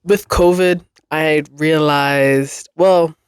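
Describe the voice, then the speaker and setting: A podcast host recovering from a cold, sounding a bit nasal and congested, rambling chattily in a basement with frequent laughs. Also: male voice, on the high side, fairly even intonation, extremely slow, smooth, no echo, studio quality. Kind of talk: casual solo podcast chat. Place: basement room.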